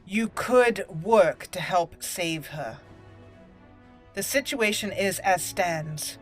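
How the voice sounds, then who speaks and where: A woman's voice: lowish voice, expressive. Blunt, resolute elf mage, played by a woman. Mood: blunt resolve. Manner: formal, blunt, measured. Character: resolute young female elf mage raised by dwarves, blunt. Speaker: gaming host. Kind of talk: improvised roleplay in a game walkthrough. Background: game music.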